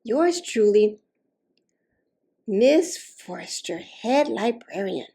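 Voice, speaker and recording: Woman reading as an encouraging, slow-spoken storybook head librarian. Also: mid-range voice, sing-song, mellow, husky, heavily acted. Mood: warm, admiring. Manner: polite, encouraging, deliberate. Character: kindly, enthusiastic female head librarian. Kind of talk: storybook read-aloud.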